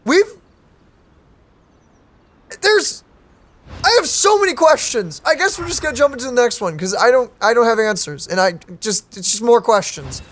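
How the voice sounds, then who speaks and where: A video game streamer giving casual, informal and expressive commentary as co-host. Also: mid-range voice, normal speed, pauses often. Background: game music.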